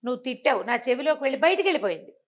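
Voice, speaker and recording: A woman's voice: high voice, very animated, rapid-fire. A woman voicing a serene Buddhist monk begging alms in a moral tale, patient and matter-of-fact. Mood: patient, unruffled.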